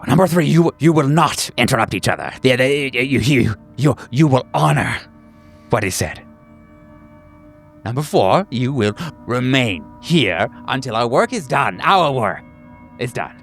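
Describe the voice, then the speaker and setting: A man voicing a fawning, sinisterly earnest group therapy doctor in a tabletop game, halting and repeating himself emphatically with nervous chuckles. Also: medium pitch, lively intonation, gravelly, a bit bright, soft background music, pitched above the performer's own voice, exaggerated voice. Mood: fervent, insistent. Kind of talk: theatrical group-session address.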